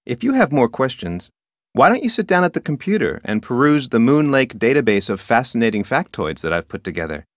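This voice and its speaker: A man's voice: mid-range voice, big pitch swings, a little fast, dry recording, clean studio sound, natural voice. A man playing an officious, rule-bound park ranger in an adventure game, with a formal, wordy manner. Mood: officious, curt. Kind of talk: scripted adventure game dialogue.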